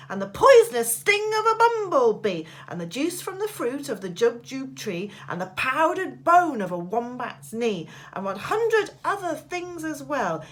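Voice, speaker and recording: Woman voicing an excitable, mischievous young storybook boy, high and swooping. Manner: gleeful, dramatic, chanting rhymes. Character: mischievous, excited eight-year-old boy plotting revenge. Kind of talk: storybook read-aloud character voice.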